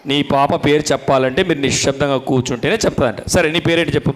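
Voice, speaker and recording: A man's voice: mid-range voice, very fast, rough recording. A man hosting a live children's puppet show, coaxing the kids and repeating back what he hears. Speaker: puppet show host at children's Bible school.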